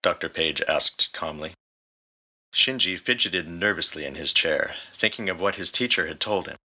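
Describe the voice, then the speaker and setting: A man's voice: low-pitched, normal speed, pushed and bright. A man reading scripted story narration as the narrator. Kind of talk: scripted fan fiction narration.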